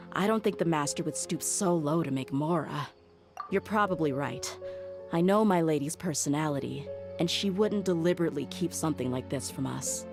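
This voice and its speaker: Low-pitched loyal mercenary woman, composed and plain-spoken, game dialogue with clear music underneath. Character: loyal, frank young female mercenary bodyguard. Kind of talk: video game voice acting. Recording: game music playing behind the voice.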